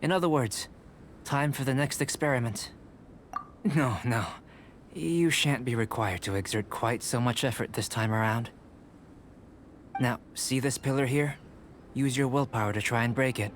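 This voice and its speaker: A man voicing a calm, polite, bookish young alchemist in a video game, measured and formal. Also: medium pitch, slight background noise, natural voice. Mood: calm, curious. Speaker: video game character actor. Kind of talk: scripted game voice acting. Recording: music behind the voice.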